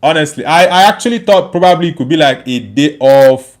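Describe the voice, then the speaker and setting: A man's voice: a little high, lively intonation, a little fast. A man hosting a casual livestream, reading out viewer comments and replying in an emphatic way, often repeating phrases as he thinks aloud. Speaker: livestream host.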